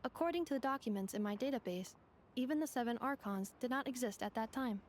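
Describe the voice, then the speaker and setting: Woman playing a formal, high-voiced robot guide. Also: slight background noise, close to an everyday voice. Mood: calmly informative. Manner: formal, measured, analytical. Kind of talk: video game character dialogue. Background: game music.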